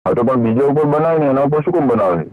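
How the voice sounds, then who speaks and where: Guy from gujarat on a dull lofi call, pushy, crude, threatening, talks fast. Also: mid-range voice, animated. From Gujarat, India. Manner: blunt, coarse, threatening, insistent. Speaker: caller demanding videos be deleted. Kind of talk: heated phone argument. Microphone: phone call. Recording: dull, lo-fi phone call recording.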